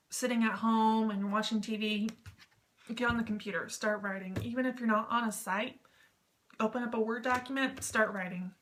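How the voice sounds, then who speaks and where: A woman's voice: mid-range voice, a bit bright. A woman vlogger giving informal advice in a rambling, slightly self-conscious way. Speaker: YouTube vlogger. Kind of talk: casual advice vlog on online text roleplay.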